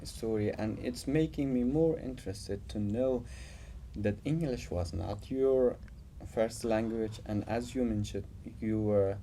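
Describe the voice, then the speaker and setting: A man's voice: fairly low pitch, a little slow, dark tone, a little hiss or hum. A man interviewing a guest in non-native English, asking questions with frequent filler words. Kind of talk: casual interview talk.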